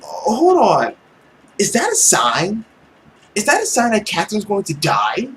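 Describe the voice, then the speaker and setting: A man's voice: sits high, measured pace, a little hiss or hum. A man hosting a let's play of a visual novel, narrating and reacting expressively. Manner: expressive, swearing freely. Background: music with plucked strings.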